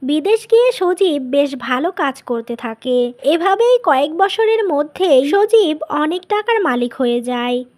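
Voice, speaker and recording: A woman's voice: high-pitched, big pitch swings, a little slow, darkish tone. A woman narrating a cartoon tale as a scripted storyteller.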